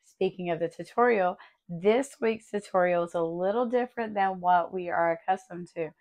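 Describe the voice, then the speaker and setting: A woman hosting a craft tutorial, her voice still a little raspy as she recovers, talking in a friendly, chatty way and explaining each step. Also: fairly low pitch, normal speed, a little mellow. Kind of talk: casual tutorial walkthrough.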